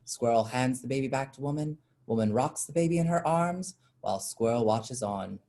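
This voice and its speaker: A woman's voice: extremely low pitch, normal speed, dark tone. A woman reading stage directions as the narrator of a staged play, in scripted narration.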